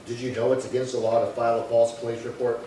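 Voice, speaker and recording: A man playing a businesslike, patient police detective in an amateur radio crime drama, clipped, polite and deadpan. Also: fast talker, natural voice. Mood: dry questioning. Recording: echoey room, distant mic, faint rumble.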